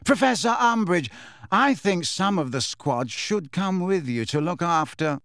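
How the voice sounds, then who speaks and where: A man's voice: high voice, animated, measured pace, a bit bright, dry recording, clean studio sound, pitched above the performer's own voice, heavily acted. A man voicing an eager, deferential teenage schoolboy henchman.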